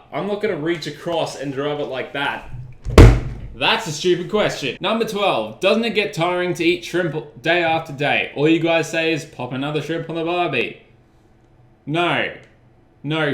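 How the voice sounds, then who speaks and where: A man's voice: on the high side, fairly bright tone. Sarcastic young Australian man, echoey room. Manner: sarcastic, exasperated, swears. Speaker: YouTuber. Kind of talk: sarcastic comic vlog.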